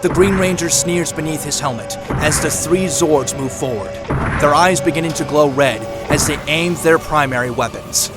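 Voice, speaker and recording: A man's voice: fairly high pitch, normal speed, over music. A man reading dramatic scripted narration for an audio drama.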